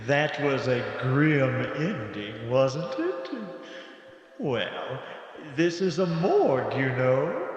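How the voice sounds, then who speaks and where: A man's voice: medium pitch, very animated, very slow, very echoey, big-room sound. A man playing a creepy, obliging old morgue attendant, hosting an old-time radio horror tale with macabre courtesy. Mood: ghoulishly genial. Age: older. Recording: muffled, music under the voice.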